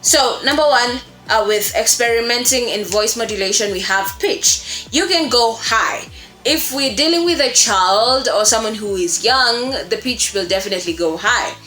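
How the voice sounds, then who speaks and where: A woman's voice: a little high, normal speed, sharp tone. A woman giving an informal tutorial as a host, explaining step by step with rhetorical questions and little check-ins. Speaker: voice acting tutor, video host. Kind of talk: informal tutorial talk to camera. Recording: echoey room, music underneath.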